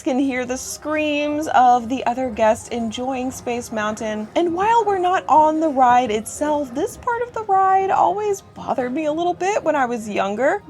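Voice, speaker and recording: A young woman hosting a theme park vlog, upbeat and reassuring, her voice a little scratchy as she is losing it, recorded outdoors on a rainy day. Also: a little high. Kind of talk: friendly theme park ride review.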